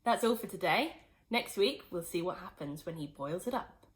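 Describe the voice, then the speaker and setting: A woman teacher reading a children's story aloud in an expressive, animated way. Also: on the low side, moderate pace.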